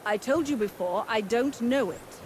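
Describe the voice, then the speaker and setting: Over clear ambient noise, an actress voices a world-weary older woman who runs an inn. She is blunt and dismissive, with the bitterness of a former resistance fighter in a story-driven game. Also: on the high side, normal speed, a little mellow, natural voice. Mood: matter-of-fact. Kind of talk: video game voice acting.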